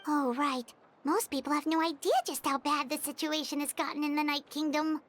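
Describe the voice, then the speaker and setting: A woman voicing a small, chirpy childlike female sprite in a video game, squeaky, perky and exclamatory. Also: little pitch movement, brisk, a little hiss or hum, natural voice. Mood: concerned, friendly. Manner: perky, exclamatory, talks of herself in third person. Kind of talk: animated video game voice acting.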